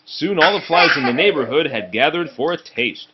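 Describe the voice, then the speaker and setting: A man reading a children's storybook aloud in an expressive way, pausing to speak to the children with him. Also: fairly high pitch, lo-fi sound, heavy background noise. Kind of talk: read-aloud of a children's storybook, broken by asides to kids.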